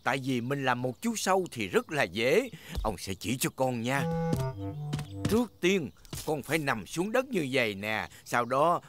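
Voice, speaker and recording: Slow, jolly old grandpa pig. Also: male voice, mid-range voice, very animated, over loud music. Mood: jolly, playful. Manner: hearty, playful, chuckling. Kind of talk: dubbed children's cartoon acting.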